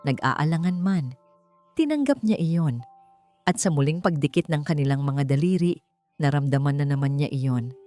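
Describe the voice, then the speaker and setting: A woman's voice: deep voice, expressive, moderate pace, mellow, no echo. A woman reading a scripted love story as a dramatic narrator. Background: faint music.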